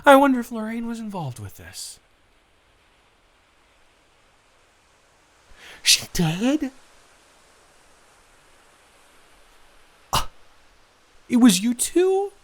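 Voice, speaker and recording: A man playing a flustered, smitten young man in an improvised romantic audio roleplay, speaking haltingly and conversationally. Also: very high-pitched, wildly swooping pitch, exaggerated voice. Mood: surprised, pleased. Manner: halting, flustered, with sighs, gasps and laughs.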